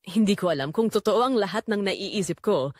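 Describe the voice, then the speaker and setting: A woman narrates a first-person drama as if confiding a secret. Her pitch sits in a middle range for a woman and moves in an animated, expressive way. The sound is very dry, with no room around the voice. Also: a little fast, dry recording.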